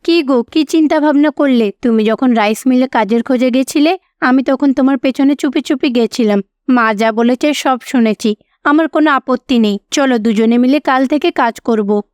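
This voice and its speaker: A woman's voice: high voice, normal speed, a little mellow, no echo, lightly acted. A woman voicing an earnest, coaxing young wife in a cartoon story. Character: devoted, persuasive young wife in a poor household.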